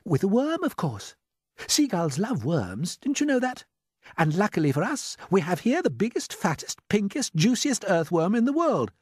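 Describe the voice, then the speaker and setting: A man's voice: high-pitched, huge pitch swings, moderate pace, slightly rough, no room sound, clean studio sound, pitched above the performer's own voice, exaggerated voice. A man voicing an earnest, determined, polite young boy in a children's story. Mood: persuasive.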